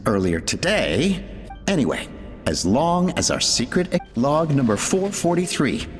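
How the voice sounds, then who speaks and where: Driven researcher in a reverberant hall. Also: male voice, a little high, big pitch swings, measured pace. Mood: weary, bitter. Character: driven, troubled male researcher dictating log entries. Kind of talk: dramatic game voice acting. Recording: echoey hall reverb, music behind.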